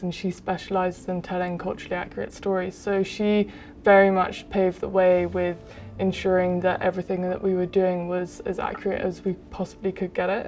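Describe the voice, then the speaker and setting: Even-toned woman, game director. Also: medium pitch, a little hiss or hum. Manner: even, explanatory, with frequent "um". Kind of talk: interview answers.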